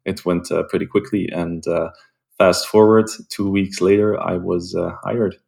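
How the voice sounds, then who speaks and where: A young man from Belgium, a sales rep, calmly answering interview questions with frequent ums and uhs. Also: deep voice, normal speed, vocal fry. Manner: calm, hesitant with frequent ums. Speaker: guest, sales development rep.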